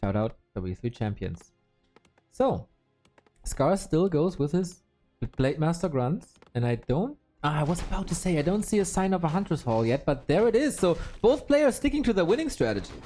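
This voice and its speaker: A man's voice: a little high, moderate pace, faint music behind. A man casting a live esports match, joking and thanking viewers from chat. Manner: jokey, animated, reads out donations. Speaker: esports caster. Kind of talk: live game commentary with chat shout-outs.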